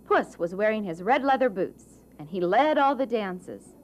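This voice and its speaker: A woman narrating a children's storybook tale for a puppet show with measured, dramatic phrasing. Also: medium pitch, animated, darkish tone, slight background noise.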